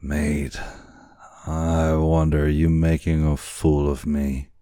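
A man acts out a brash whaling boatswain from a storybook, drunken and suspicious. The voice is very deep and slow, full of blustering, defiant threats in rough sailor's talk. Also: completely flat delivery, mellow, dry recording, clean studio sound.

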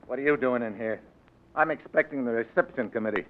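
An American actor playing a rough, slangy crook turned stubborn governor in a 1940s radio drama. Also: male voice, medium pitch, fast, dry recording, close to an everyday voice. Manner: gruff, slangy, tough-guy delivery. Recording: muffled, faintly noisy 1940s radio recording.

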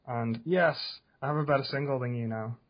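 A man's voice: mid-range voice, brisk, muffled recording. A man in his twenties co-hosting a podcast, joking and laughing often in casual chat. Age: 20s. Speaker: podcast co-host.

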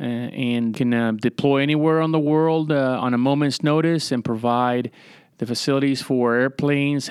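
Casting: middle-aged male naval officer as interview guest, crisp voice, factual explanatory delivery, dry sound. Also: medium pitch, no echo. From San Juan, Puerto Rico. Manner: matter-of-fact, military briefing phrasing.

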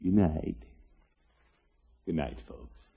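An actor playing a flustered, grumbling but soft-hearted middle-aged family man in 1950s radio comedy style. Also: sits low, expressive, extremely slow, vocal fry, very noisy, heavily acted. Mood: tender. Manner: blustery, muttering to himself. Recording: muffled vintage 1950s radio recording.

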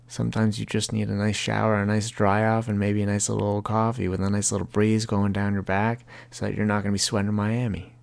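Young male podcast host, gravelly, low-pitched, earnest and confessional, reads his own writing. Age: 20s. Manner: earnest, confessional, heavy language. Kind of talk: reflective monologue reading from his book.

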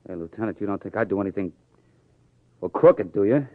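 Actor as evasive young boxer, muffled old radio. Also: male voice, fairly low pitch, animated, a little fast, natural voice. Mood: defensive. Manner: hesitant, plain-spoken, defensive. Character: young male prizefighter, evasive, earnest, defensive. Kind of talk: 1950s radio crime drama acting. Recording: muffled vintage radio recording.

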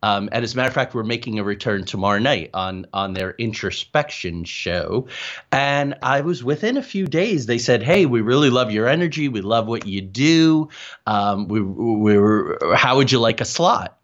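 A man's voice: medium pitch, animated, moderate pace, a bit bright, dull audio. A man talking casually as a guest in an interview. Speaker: paranormal researcher, podcast guest.

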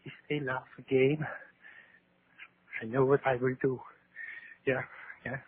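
An actor playing a guilt-ridden, broken German soldier in a 1940s radio horror drama, halting and brooding. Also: male voice, medium pitch, little pitch movement, natural voice. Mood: grimly resolved. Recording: scratchy, narrow-band 1940s radio recording.

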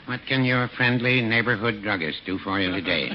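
An American actor playing a mild, folksy older small-town druggist with dry, deferential delivery in a 1940s radio comedy. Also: male voice, lowish voice, fairly quick, lightly acted. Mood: chipper. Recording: muffled, noisy 1940s radio recording.

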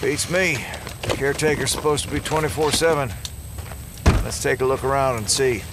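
A man's voice: mid-range voice, moderate pace, close to an everyday voice. A man playing a practical, plain-spoken small-town sheriff in an audio drama, folksy and matter-of-fact. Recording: clearly noisy outdoor scene ambience.

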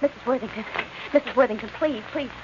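A woman's voice: a little high, fast talker, close to an everyday voice. An actress playing an anxious, kindly young woman, a detective's sidekick, in a 1940s radio drama. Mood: flustered. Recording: dull, hissy old radio recording.

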